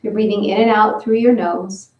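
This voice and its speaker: A woman leading a guided breathing and stretching session, giving slow, gentle step-by-step instructions. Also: mid-range voice, fairly even intonation, distant mic, lo-fi sound. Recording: roomy, from away from the mic.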